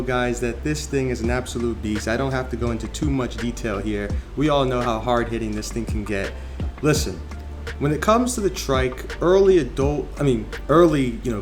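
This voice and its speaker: A man with a medium-pitched voice delivers opinionated video game commentary. He talks conversationally and leans hard on his points with emphasis. Music plays clearly behind his voice. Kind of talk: opinionated tier list commentary.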